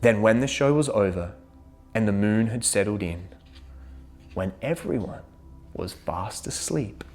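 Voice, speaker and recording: A woman hosting a children's read-aloud, telling a rhyming picture book in an expressive, dramatic way. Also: extremely low pitch, a little slow, pauses often, faint music behind. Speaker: children's story reader and host.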